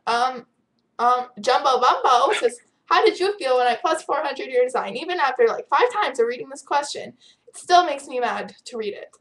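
A teenage girl talks casually into her webcam from a room at home, teasing and giggling as she reads viewer questions. Her voice sits a little high. The sound is the plain pickup of a built-in webcam mic. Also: lively intonation, moderate pace, fairly bright tone, rough recording. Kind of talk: casual chat. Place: home room with bright window.

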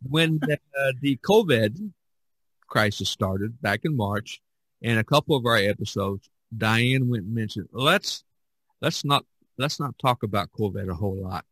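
A middle-aged man chatting casually as a podcast host, rambling and halting as he searches for words. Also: mid-range voice, animated, fairly bright tone, breathy edge, dry recording, rough recording. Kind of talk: casual chat.

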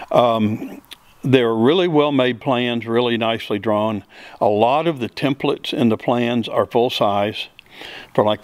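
A man's voice: mid-range voice, no echo. A middle-aged man, a builder and pilot, answering interview questions in a plain, step-by-step way with many fillers, recorded on a handheld mic in an open hangar. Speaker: aircraft builder and pilot guest. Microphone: handheld mic held by interviewer.

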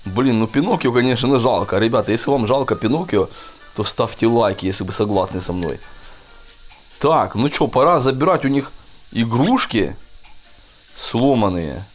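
A man's voice: medium pitch, lively intonation, normal speed, slight background noise. A man giving casual, chatty gameplay commentary for children, often addressing his viewers directly. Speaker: gaming video host. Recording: game music behind the voice.

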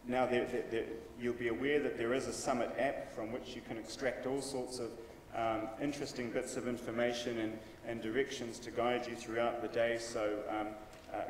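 A man in his forties at a lectern, giving relaxed, joking housekeeping announcements as the event MC, full of ums and uhs. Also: mid-range voice, moderate pace. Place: conference room, at a lectern. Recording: roomy hall reverb, distant mic, faint hiss.

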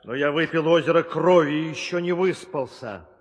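Casting: lumbering, drowsy demon brute played by a man, bloodthirsty, slow, slightly high voice, reverberant radio drama. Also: highs cut off, lightly acted. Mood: grumpy. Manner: slow, grumbling. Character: sleepy, bloodthirsty giant male demon, lazy and grumpy. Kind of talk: old radio play acting. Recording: long reverb, old studio drama recording.

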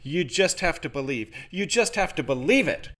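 A commanding, forceful woman who barks orders and rallies with insistent repetition, set higher than the reader's own voice, as a man puts her on. Her lines rise and fall with animation. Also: big pitch swings, normal speed. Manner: barking, urging.

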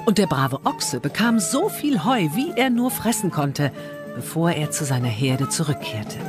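A woman's voice: low voice, sing-song, a little slow, music in the background. A woman narrating a fairy tale as a scripted audio story.

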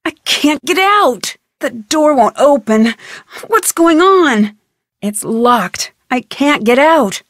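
A woman with an American accent playing a plucky, curious teenage girl detective in a video game, thinking aloud in a clear, chipper way. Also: high voice, animated, slow, a bit bright, no echo, clean studio sound, heavily acted. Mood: alarmed. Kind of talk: scripted video game voice lines.

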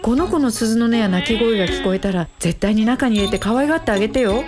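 Actress as bossy, upbeat woman matchmaker, lively high voice. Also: fast talker, music in the background, natural voice. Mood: bossy, encouraging. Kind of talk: radio drama acting.

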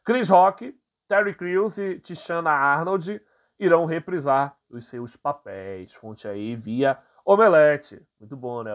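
Brazilian guy voiceover, reads the news then chats about it, lots of "né", medium pitch. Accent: Brazilian Portuguese. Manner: casual, many fillers, reads articles aloud then comments. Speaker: channel host. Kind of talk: reading and commenting on entertainment news.

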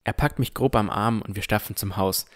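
A man's voice: fairly low pitch, a little fast. A man reading scripted first-person narration as the narrator of a fantasy audio drama.

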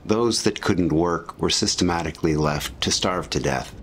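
Low-pitched male documentary narrator. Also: normal speed. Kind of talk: scripted historical documentary narration.

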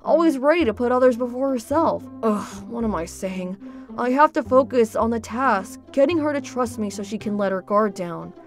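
A woman acts out a teenage boy hero for a cartoon comic dub. The voice is fairly high, earnest and a little awkward, with a hesitant, soft-hearted manner. Music plays underneath. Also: expressive, normal speed. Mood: torn, earnest. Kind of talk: fan comic dub voice acting.

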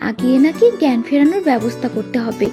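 Woman voicing a high-pitched young island girl. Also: expressive, quick pace. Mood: anxious, curious. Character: young island girl, lone castaway, caring. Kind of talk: Bengali fairy-tale cartoon dubbing. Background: music behind the voice.

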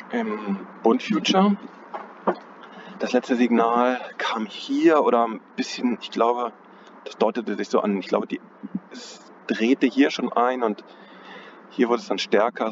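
A man's voice: medium pitch, takes it slowly, pauses often. Man musing over charts outdoors, lo-fi. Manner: thinking aloud, hesitant, self-correcting, chuckles at himself. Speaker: trading analyst. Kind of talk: casual chart analysis commentary. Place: outdoors on a cold day. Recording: dull lo-fi audio with outdoor noise.

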